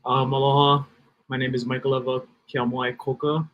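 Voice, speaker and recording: A man's voice: mid-range voice, flat delivery, normal speed, dull audio, a little hiss or hum. A young man from Oahu, a podcast guest, speaking hesitantly with many fillers and hedges. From Oahu, Hawaii. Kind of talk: casual conversation.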